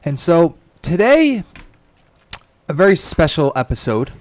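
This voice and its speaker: A man's voice: on the high side, very animated, unhurried, dry recording. A man who co-hosts a podcast, opening the episode with an upbeat introduction and prompting his guest. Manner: upbeat intro, then short back-channel replies. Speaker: co-host. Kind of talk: podcast hosting and interviewing.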